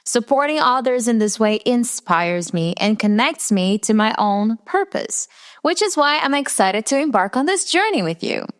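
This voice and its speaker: Brazilian woman, portuguese native, americanized english accent, solo podcast host, earnest and reflective delivery, animated pitch. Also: moderate pace. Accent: Americanized English with Brazilian Portuguese roots. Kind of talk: scripted solo podcast monologue. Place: her room.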